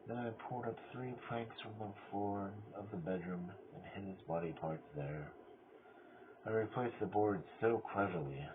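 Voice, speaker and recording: A man playing a cunning, mad murderer who confesses in a theatrical gothic horror reading, swinging between hushed control and frenzy. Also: low voice. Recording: tinny band-limited audio with clear noise.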